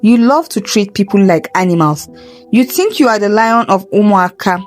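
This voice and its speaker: A woman's voice: mid-range voice, animated, moderate pace, darkish tone, faint music behind, close to an everyday voice. Woman playing a stern, menacing Nigerian old sorceress. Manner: stern, pronouncing curses. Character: menacing mysterious old woman with magical powers.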